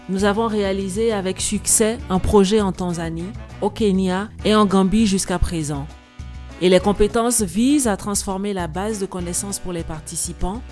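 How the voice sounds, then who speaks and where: Steady woman doing a voice-over translation. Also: medium pitch. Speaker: voice-over interpreter.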